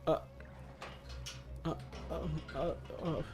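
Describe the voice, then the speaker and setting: A man's voice: fairly high pitch, flat delivery, very slow. A man giving casual live commentary as he streams a video game, rambling and easily sidetracked. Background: game music.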